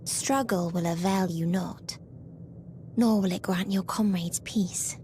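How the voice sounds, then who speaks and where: A slow, solemn female being of despair, speaking in formal, fatalistic phrases with a hushed edge. It is dramatic video game acting over light ambient noise. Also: fairly low pitch, fairly even intonation. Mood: mournful, resigned. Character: mournful otherworldly female being preaching despair and resignation.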